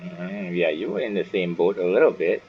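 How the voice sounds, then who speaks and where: A man's voice: low voice, fairly quick. A man giving casual gaming commentary, muttering and thinking aloud as he plays. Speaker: gaming video host. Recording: dull lo-fi mic with faint noise.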